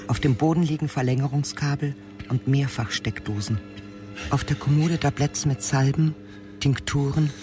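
A man narrating a scripted crime drama in a sober, matter-of-fact manner. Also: mid-range voice.

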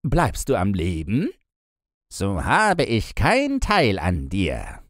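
Storybook Devil: sly, commanding, mocking, theatrical, very animated pitch, slow and drawn out. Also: male voice, dry recording, studio quality, full character voice. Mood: commanding, bargaining. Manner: theatrical, drawn-out, swooping delivery. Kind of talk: fairy-tale audiobook character reading.

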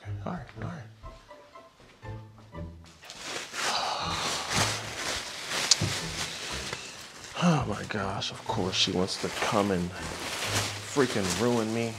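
A man's voice: lowish voice, expressive, measured pace, over loud music. A man playing a flustered, jealous young boyfriend who talks in an exasperated way. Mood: grumbling. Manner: exasperated, muttering complaints.

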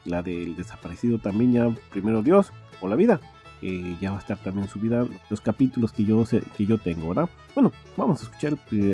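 A man hosting a podcast, giving an informal, halting spoken introduction. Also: mid-range voice, dark tone. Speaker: podcast host. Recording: music behind the voice. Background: guitar music.

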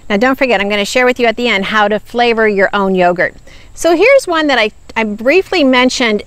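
A woman in her fifties speaks outdoors beside a wooded yard. She explains food labels earnestly and with emphasis, in a slightly high voice. Her speech is picked up close on a clip-on lapel mic. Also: no echo. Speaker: nutrition ministry host. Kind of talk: product review talk to camera. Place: outdoors by woods.

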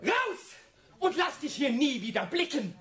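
An actor playing a hard-nosed, mocking radio producer in a German crime audio drama, theatrical and condescending. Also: male voice, extremely high pitch, big pitch swings, measured pace, fairly bright tone, full character voice. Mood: furious.

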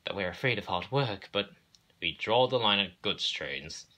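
A man's voice: on the low side, expressive, moderate pace, sharp tone. A man voicing pompous big steam engines in a storybook, grumbling with open disdain. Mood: haughty grumbling.

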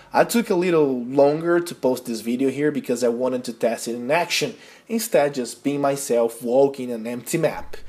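A man from Brazil with a Brazilian accent and Portuguese as his first language narrates a game guide in casual, enthusiastic, non-native phrasing. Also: fairly high pitch. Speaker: video game guide creator. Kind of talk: casual tutorial narration over gameplay.

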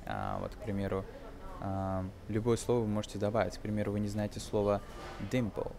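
A man's voice: lowish voice, expressive, a little fast, gravelly, noisy background. A man in his twenties giving a casual English lesson over a film, explaining hesitantly and switching into English for examples. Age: 20s. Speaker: English teacher, video host.

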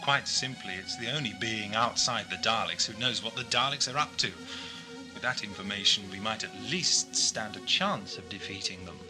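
A man playing a brainy, eccentric time-travelling adventurer in an audio drama, brisk and explanatory. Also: on the low side, natural voice, rough recording. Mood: keen, thoughtful. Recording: music behind amateur audio drama.

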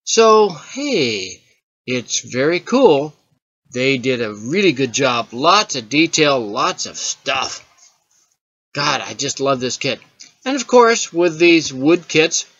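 A man's voice: fairly high pitch, bright, forceful tone, highs cut off. A man narrating a hobby build tutorial in an enthusiastic, chatty way, often asking the viewer questions. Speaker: model railroad hobbyist host. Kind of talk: casual voice-over over a build tutorial.

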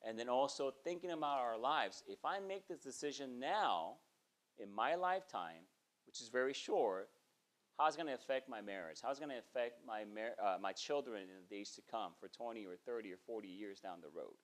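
A man's voice: mid-range voice, moderate pace. Conversational man in his forties preaching. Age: 40s. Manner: conversational preaching, frequent "okay?" check-ins, animated gestures. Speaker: pastor. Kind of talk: sermon. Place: small church sanctuary, indoors.

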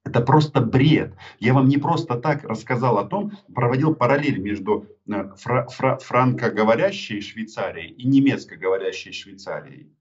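A man in his thirties with a Ukrainian accent, arguing forcefully in an interview over a video call and pressing his points with rhetorical questions. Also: mid-range voice, animated, normal speed. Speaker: journalist being interviewed. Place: indoor room with a poster on the wall. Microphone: webcam on a video call. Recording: dull lo-fi video call audio.

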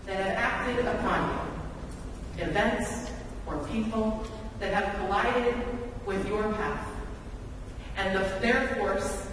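A woman in her thirties preaching a sermon from a church pulpit in measured, deliberate phrases with rhetorical repetition. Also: medium pitch. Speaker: pastor. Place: large church sanctuary, at the pulpit. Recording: echoey church hall, distant mic, background noise.

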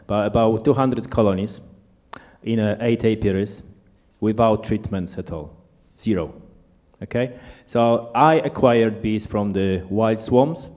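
A man with a Polish accent, whose first language is Polish, speaking up from the audience at a convention in halting English with many fillers. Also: on the low side, darkish tone. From Poland. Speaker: audience member, beekeeper. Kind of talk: Q&A comment at a convention. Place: large lecture hall.

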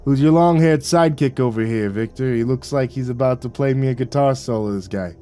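A man's voice: medium pitch, brisk, dark tone, smooth, lightly acted. A man voicing a mocking, nosy, wisecracking gangster in an audiobook. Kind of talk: audiobook character voice. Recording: music behind the voice.

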